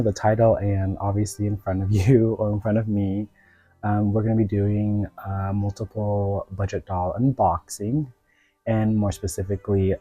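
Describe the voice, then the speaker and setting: A man's voice: fairly low pitch, dark tone, dry recording, poor audio. A man from the United States with a cold, chatting casually as a doll reviewer in a rambling way, full of filler words. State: sick with a cold. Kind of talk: casual vlog chat.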